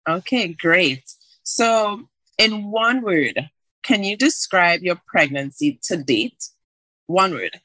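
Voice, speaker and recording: A woman hosting a podcast, reading an introduction and then asking friendly, excited questions, often laughing. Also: fairly low pitch, takes it slowly, no room sound, low-quality recording. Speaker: podcast host. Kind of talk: podcast interview.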